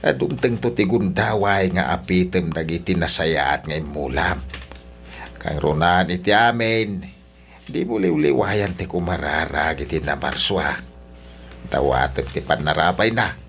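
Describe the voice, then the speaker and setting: A man's voice: sits low, measured pace, fairly bright tone. A man with an Ilocano Filipino accent playing a kindly, pious old father-in-law in a radio drama, giving fatherly, encouraging counsel. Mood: encouraging, devout. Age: older.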